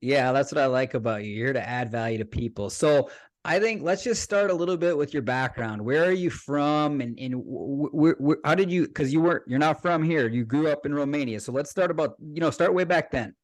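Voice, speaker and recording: A man's voice: a little high, brisk, dry recording. A man hosting a podcast, casual and enthusiastic, calling his guest dude. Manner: laid-back, enthusiastic, says dude. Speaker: podcast host. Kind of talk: casual interview chat.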